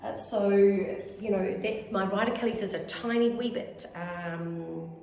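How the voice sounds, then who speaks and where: A woman's voice: medium pitch, a little slow, vocal fry, a little mellow. A New Zealand woman in her fifties giving a rambling, effusive testimonial as a clinic client. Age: 50s. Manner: rambling, effusive, goes off on tangents. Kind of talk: casual testimonial interview. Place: clinic room. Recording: very echoey room.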